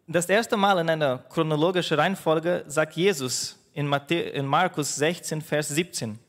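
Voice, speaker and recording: A man's voice: fairly high pitch, big-room sound. A man whose first language is Portuguese, preaching a sermon as pastor, often restarting his phrases with fillers. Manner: halting, with frequent restarts and fillers.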